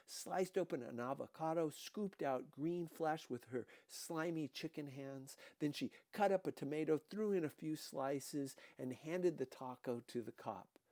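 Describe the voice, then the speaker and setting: A man reading a first-person novel aloud as an audiobook, with deliberate, dramatic expression. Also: fairly high pitch, dark tone, no echo. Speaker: audiobook reader.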